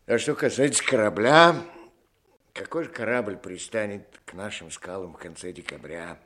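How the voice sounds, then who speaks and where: An actor playing a talkative, humble old school caretaker with a folksy, deferential manner in 1960s radio drama style. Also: male voice, fairly low pitch, moderate pace, fairly bright tone, slight background noise, lightly acted. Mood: matter-of-fact, agreeable. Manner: deferential, folksy, talkative, often says sir.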